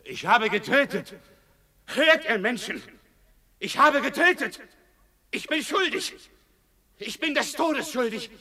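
A tormented killer, confessing guilt; high strained cries, distant hall echo. Radio play. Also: male voice, takes it slowly, long pauses, exaggerated voice. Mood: anguished shouting. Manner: anguished shouting, then flat weary replies. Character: guilt-stricken male murderer crying his guilt.

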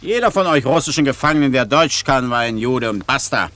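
An actor playing a blustering, condescending Austrian army major in a radio play, pompous and self-assured. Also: male voice, mid-range voice, expressive, brisk, pushed and bright. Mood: smug, condescending. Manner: pompous, condescending, barking questions.

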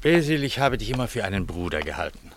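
A man's voice: lowish voice, very animated, fast, a little hiss or hum. A man acting in a German radio play as a baffled, sceptical brother, speaking earnestly and formally with some exasperation. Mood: reproachful.

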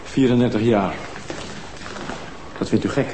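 A man's voice: lowish voice, measured pace, mellow, lightly acted. An actor playing the younger husband of a murdered old woman, a former nurse, answering in a guarded, defensive way. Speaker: widower of the victim. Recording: TV sound with room echo and background noise.

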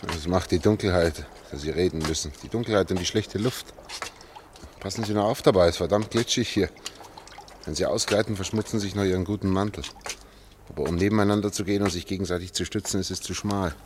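Casting: actor as gruff factory man turned escape guide, low voice, dry and mocking, radio play with clear water noise. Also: fast, natural voice. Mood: brisk, watchful. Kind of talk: radio drama acting. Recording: trickling water noise behind the voice. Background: trickling, dribbling water.

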